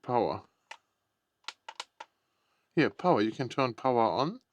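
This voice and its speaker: A man's voice: mid-range voice, sing-song, slow pace, no echo. A man giving casual, unscripted unboxing commentary, thinking aloud in short, tentative remarks. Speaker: product unboxing vlogger.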